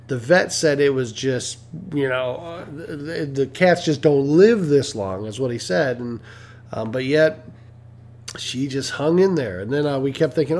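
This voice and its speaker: A man's voice: on the high side, darkish tone. Congested middle-aged man rambling on a stream. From Detroit, Michigan. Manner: rambling, with many fillers and restarts. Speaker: livestream host. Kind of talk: casual rambling monologue.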